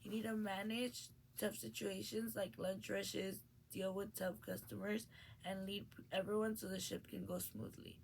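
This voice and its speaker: Earnest teenage girl with a gravelly edge. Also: mid-range voice, level tone, a little slow, slight background noise, low-quality recording. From Mexico. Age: late teens. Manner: earnest, reads with small restarts. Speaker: graduating high school student. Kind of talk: reading a prepared personal speech.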